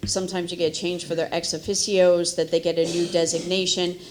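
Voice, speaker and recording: A woman's voice: on the low side, little pitch movement, a little fast, slight background noise. A woman on city staff, explaining a board's makeup to council in a matter-of-fact way. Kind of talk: answering a council question.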